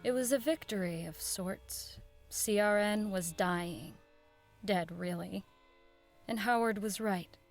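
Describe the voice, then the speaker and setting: A woman's voice: mid-range voice, slow pace, faint music behind. An actress playing an idealistic, determined woman who runs a 1940s radio network, speaking in an earnest, dignified, persuasive way in an audio drama. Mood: wistful, resolute. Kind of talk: audio drama acting, dialogue and wistful first-person narration.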